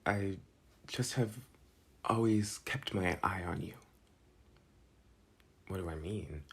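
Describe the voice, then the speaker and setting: A young man playing a protective, teasing but soft-hearted school delinquent in an intimate, casual ASMR boyfriend roleplay. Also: low voice, slow, husky, exaggerated voice. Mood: concerned, earnest.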